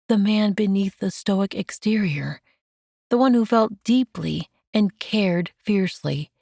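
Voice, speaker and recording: A woman reads romance fiction as an audiobook narrator. Her voice is a little low and her delivery is slow, gentle and measured. The sound is very dry, with no room around it. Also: vocal fry, no room sound.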